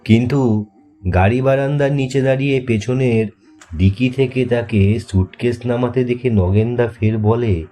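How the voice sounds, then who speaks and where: A man narrating a scripted ghost story as host, in a measured, deliberate way with suspenseful pauses. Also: mid-range voice, dark tone. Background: faint music.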